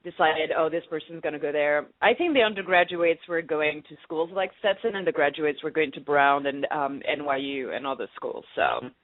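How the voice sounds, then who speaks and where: A woman's voice: low-pitched, normal speed, hardly any pauses, no room sound. A woman from France, answering questions casually as a guest in an interview. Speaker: actress being interviewed. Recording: tinny, phone-like narrow-band audio.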